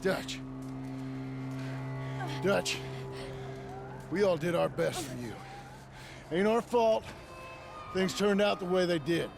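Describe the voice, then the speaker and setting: A raspy, desperate American gunslinger. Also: male voice, high-pitched, slow pace, pauses often, exaggerated voice. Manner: strained, emphatic pleading. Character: earnest, desperate male Old West gunslinger. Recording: loud music under the voice.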